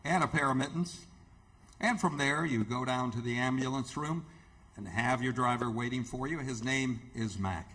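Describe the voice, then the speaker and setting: An actor playing an earnest, careworn hospital superintendent in radio theatre, halting and emphatic. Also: male voice, medium pitch, a bit bright, lightly acted. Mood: businesslike.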